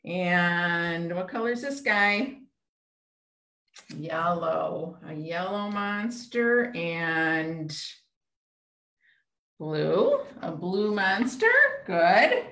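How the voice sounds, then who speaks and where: A woman's voice: on the low side, big pitch swings, very slow, long pauses, fairly bright tone. A middle-aged woman leading an interactive storytime for young children, asking simple questions in short phrases over a video call. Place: small room at home, indoors. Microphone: computer mic on a video call, a step away. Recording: roomy echo, webcam video call.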